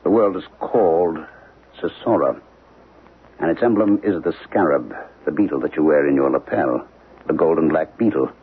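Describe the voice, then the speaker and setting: An actor in a 1970s radio drama playing an earnest, obsessed professor who speaks in a halting, insistent way. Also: male voice, rumbling low, animated, slightly rough, full character voice. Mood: searching, intense. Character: earnest, obsessed male professor haunted by ancient memories. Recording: lo-fi narrowband radio recording with hiss.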